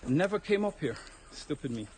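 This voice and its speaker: Brief: Jamaican man, slightly high voice, relaxed chatty fitness livestreamer, outdoors on a phone, a little winded. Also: normal speed. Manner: chatty, relaxed, talks to viewers while walking uphill. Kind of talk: casual livestream chat while walking. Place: outdoors on a hill road. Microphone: phone held while walking.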